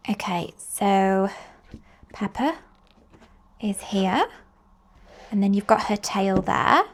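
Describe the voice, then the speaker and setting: A woman reading picture books aloud to young children in an expressive, slow storytelling manner. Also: mid-range voice. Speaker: children's storybook reader and host.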